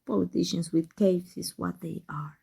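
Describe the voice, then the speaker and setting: A woman playing an embittered villain secretly in love with a rival, in an intense ASMR roleplay monologue, husky and breathy, often dropping to a whisper. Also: lowish voice, steady tone, moderate pace, dark tone, heavily acted. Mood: bitter anger.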